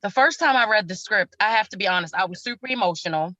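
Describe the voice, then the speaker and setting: A woman actor speaking casually in an interview, expressive and emphatic, acting out her own thoughts as she goes. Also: mid-range voice, fast, no room sound, lo-fi sound. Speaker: stage actress.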